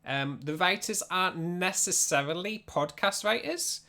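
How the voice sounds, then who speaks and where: Higher-pitched Yorkshire man teaching informally. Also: a little slow, bright, forceful tone. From Yorkshire, England. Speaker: streamer teaching audio drama production. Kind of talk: informal livestream workshop talk.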